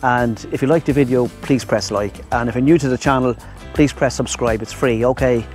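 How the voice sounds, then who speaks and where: Irish male travel vlogger, rambling and chatty, outdoor lav mic, mid-pitched voice. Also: fairly even intonation, a little fast, darkish tone, soft background music. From Ireland. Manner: rambling, chatty, addresses viewers as "my friends". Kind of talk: casual travel vlog to camera. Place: outdoors in Thai countryside. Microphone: lavalier mic.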